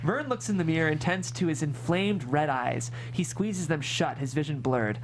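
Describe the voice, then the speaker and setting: A man's voice: fairly high pitch, expressive, moderate pace, slight background noise. A man reading stage directions as scripted narration at a screenplay table read.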